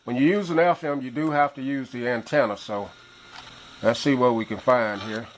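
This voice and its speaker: Relaxed man reviewing a gadget. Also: medium pitch, moderate pace, a little hiss or hum, low-quality recording. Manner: relaxed, rambling, frequent uhs. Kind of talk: casual product review.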